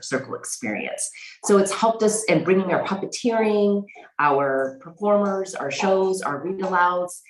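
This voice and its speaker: A woman speaking conversationally as an interview guest, representing her organization, with a roomy sound around her. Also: low voice. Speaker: interview guest, executive director.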